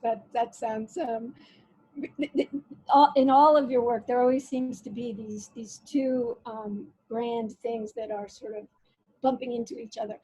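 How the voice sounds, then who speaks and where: A woman hosting a conversational interview over a video call, reading passages aloud and talking with frequent pauses and fillers. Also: on the high side, steady tone, dark tone, breathy edge, no room sound, low-quality recording. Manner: hesitant, reads quotes aloud, many fillers. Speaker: interview host.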